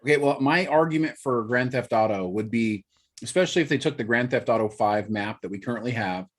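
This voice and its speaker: A man's voice: medium pitch, brisk. A man hosting a casual podcast, explaining things chattily and hedging with fillers. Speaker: podcast host. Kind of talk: casual chat.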